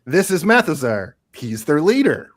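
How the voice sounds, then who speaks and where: A man's voice: on the high side, very animated, moderate pace. A man playing a confident, upbeat spaceship commander in a radio theatre read, breezy and encouraging. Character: upbeat, confident male spaceship commander, eager tour guide.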